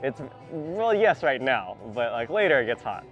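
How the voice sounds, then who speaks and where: A man's voice: mid-range voice, big pitch swings, moderate pace. A young man from the United States talking casually with a stranger in a mall clothing store, hesitant, repeating words and laughing nervously. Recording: slightly dull audio with faint music.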